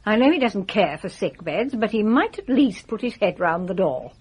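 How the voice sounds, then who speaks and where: Imperious elderly English woman for radio drama: blunt, teasing, husky, very lively intonation. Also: medium pitch, normal speed. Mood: brisk. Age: older. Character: shrewd, teasing, bedridden old lady.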